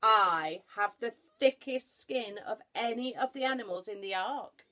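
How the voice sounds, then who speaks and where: A woman voicing a boastful, thick-skinned rhinoceros in a storybook, with a forceful, emphatic manner. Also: mid-range voice, unhurried, voice pushed up.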